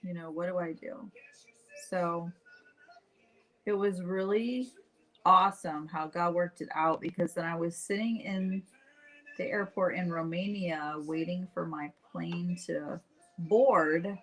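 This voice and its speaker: A middle-aged woman with a slightly low voice hosts a casual livestream. She takes her time, talking straight to her viewers in a chatty, informal storytelling style, with animated retellings of conversations. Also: takes it slowly, faint music behind, poor audio. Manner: chatty, animated retelling with acted-out dialogue and frequent "like"; addresses viewers directly. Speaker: host painting live while telling stories.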